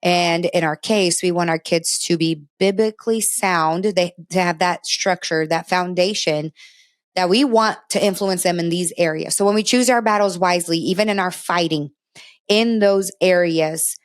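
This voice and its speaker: A woman's voice: on the low side, moderate pace, no room sound, studio quality. A middle-aged woman from Brazil, a native Portuguese speaker, co-hosting a family talk show in an earnest, emphatic, conversational way. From Brazil, moved to the US at eleven.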